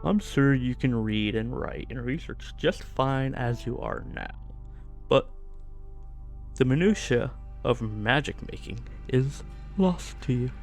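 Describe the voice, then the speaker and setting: A man playing a slow, silky evil sorcerer. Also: mid-range voice, expressive, creaky, soft background music. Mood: smug, probing. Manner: slow, silky, condescending, softly threatening. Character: menacing evil sorcerer warlord, falsely gentle, gloating captor. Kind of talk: fantasy ASMR roleplay acting.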